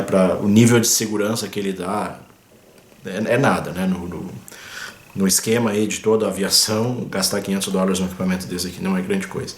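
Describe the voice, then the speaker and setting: A man, a pilot who hosts aviation videos, explaining things in a casual, chatty way from home, often tagging sentences with quick confirming fillers and pointing things out on a screen. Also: on the low side, echoey room. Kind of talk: casual explanatory vlog talk. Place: at home, indoors.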